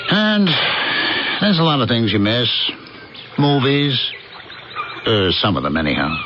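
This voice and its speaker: A man acting in an early 1980s radio drama as a homesick, bewildered American factory foreman, plain-spoken and halting. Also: medium pitch, wildly swooping pitch, dull audio. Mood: wistful, explaining. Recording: noisy, band-limited old radio broadcast recording.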